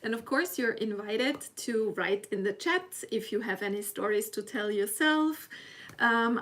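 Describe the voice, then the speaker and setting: A woman whose first language is German, speaking English with a German accent, hosting a casual live stream and chatting with her viewers. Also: a little high. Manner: chatty, self-laughing, reads chat aloud.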